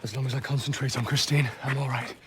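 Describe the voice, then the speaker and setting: An actor playing an anxious, earnest young doctor who reproaches himself, in a radio drama. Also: male voice, mid-range voice, fairly even intonation, fast talker, heavy background noise, natural voice. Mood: wry affection.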